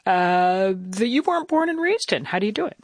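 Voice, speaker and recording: A woman interviewing conversationally for radio, putting her questions in a measured way with pauses. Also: mid-range voice, big pitch swings, dry recording, clean studio sound.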